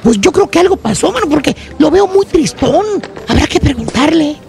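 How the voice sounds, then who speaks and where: A nosy young coworker pries for gossip in a very high, creaky voice, played by a man. The radio comedy sketch runs over steady music. He turns quickly from prying to soothing advice. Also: full character voice. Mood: gossipy.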